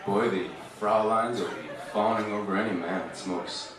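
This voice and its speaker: A man's voice: on the low side, moderate pace, close to an everyday voice. A man playing a salesy soldier buddy in a parody of an old-time radio commercial. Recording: distant, very echoey, crowd noise behind.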